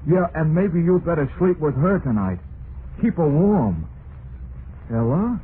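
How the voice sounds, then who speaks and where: A man's voice: fairly high pitch, expressive. An actor playing a simple, trusting son who longs for his mama, plaintive and folksy in an old-time radio drama. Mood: anxious, overjoyed. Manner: slow-witted, plaintive, folksy. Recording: tinny, noisy vintage radio recording.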